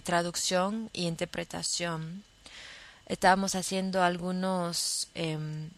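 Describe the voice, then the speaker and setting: A young adult woman hosting her own podcast, talking about her life in a halting, unscripted monologue full of fillers and restarts, in a with as her first. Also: fairly low pitch. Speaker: podcast host. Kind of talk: casual personal monologue.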